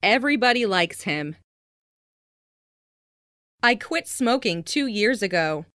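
A woman reads scripted English practice phrases in a clearly enunciated, instructional way. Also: mid-range voice, expressive, slow pace, bright, forceful tone, dry recording, studio quality. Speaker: language lesson narrator.

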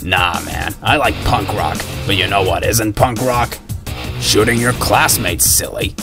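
A man acts out a cocky, sardonic schoolboy gunslinger from a video game. The voice is low and gravelly, with slangy, foul-mouthed snark and a caring streak. Music plays behind it. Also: unhurried. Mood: casual, conciliatory. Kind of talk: video game character voice acting.

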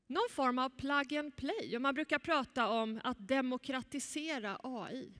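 A woman's voice: high voice, fairly bright tone. A young woman moderating a conference keynote on stage, presenting from a script with a headset mic in a large hall. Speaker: event moderator. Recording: echoey hall.

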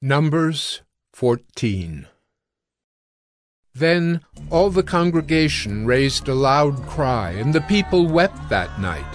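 A man narrating a dramatized audio Bible in a measured, solemn storytelling manner. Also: fairly high pitch, very animated, faint music behind.

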